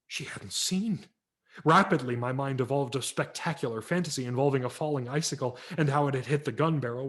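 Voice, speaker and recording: A man, a professional audiobook narrator, giving a vivid dramatic reading of a humorous memoir over a video call. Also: on the high side. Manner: vivid, expressive storytelling.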